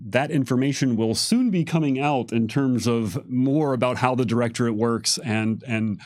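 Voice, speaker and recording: A man's voice: medium pitch, normal speed, a little mellow. A man with a mid-range voice explains things in a casual panel-chat style. His delivery is conversational, and the sound is dry with no room echo. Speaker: game designer explaining setting lore.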